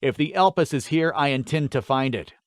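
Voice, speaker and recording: A man's voice: a little high, fairly quick, no room sound, studio quality, close to an everyday voice. A man voicing a cruel, gloating warlord swordsman in a fantasy audiobook, with a theatrical, commanding delivery. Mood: cold command.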